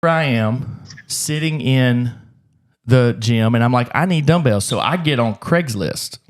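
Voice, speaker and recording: Guy running a gym podcast, mid-pitch voice, talks fast and rambly, swears a bit. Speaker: gym owner, podcast host. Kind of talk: casual podcast storytelling.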